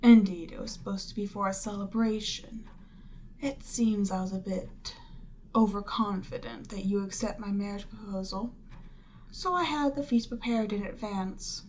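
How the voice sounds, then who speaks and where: A woman voicing a proud, seductive demon queen in a scripted ASMR roleplay, haughty and measured, lapsing into breathy near-whispers. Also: mid-range voice, a little mellow, a little hiss or hum, lightly acted. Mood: wistful pride.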